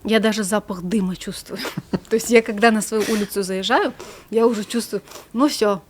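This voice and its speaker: A woman psychologist, a guest in a studio conversation, calmly explaining and trailing off softly at the ends of her phrases. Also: mid-range voice, normal speed, slight background noise.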